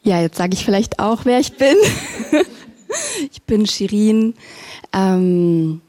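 A woman poet introducing herself and reading her poem aloud in a slow, measured, gently hesitant way at a live reading. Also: medium pitch, lively intonation. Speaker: poet and facilitator.

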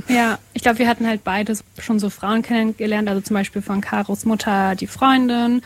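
A woman's voice: on the high side, fairly even intonation, moderate pace. A woman in her twenties from eastern Germany, co-hosting a podcast and chatting loosely with lots of fillers. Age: 20s. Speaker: podcast co-host. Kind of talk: casual conversational interview.

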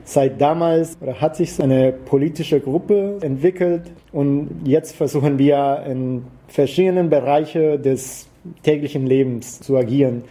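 Male interviewee, activist from Costa Rica, Latin American Spanish accent, halting delivery, notably soft dark timbre. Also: on the high side. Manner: halting, searching for words. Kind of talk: interview answers.

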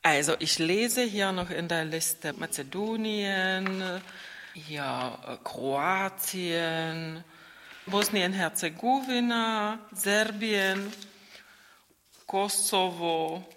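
A woman's voice: fairly low pitch, drawn-out words, fairly bright tone, big-room sound, a little hiss or hum. A woman whose first language is Czech, chatting casually as a radio co-host, thoughtful and hesitant with many fillers. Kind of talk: casual conversation.

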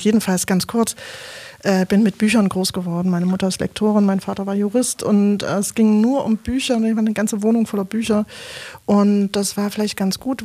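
A German woman from Leipzig, a painter and performance artist, talking about her life in a relaxed interview, with lots of fillers and restarts. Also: mid-range voice. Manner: talkative, many fillers and restarts, laughs often. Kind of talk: interview, personal background.

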